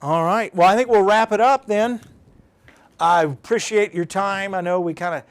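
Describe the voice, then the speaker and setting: A man's voice: high voice, moderate pace, smooth. An American man giving an informal live demonstration, explaining off the cuff in a folksy, conversational way and thinking aloud as he goes. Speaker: presenter of a weather radio programming demonstration.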